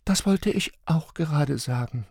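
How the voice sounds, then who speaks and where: Storybook figure: a man doing a worried mother, raised soft voice, slow. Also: on the high side, expressive, vocal fry, no room sound, studio quality, voice pushed up, full character voice. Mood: fretful.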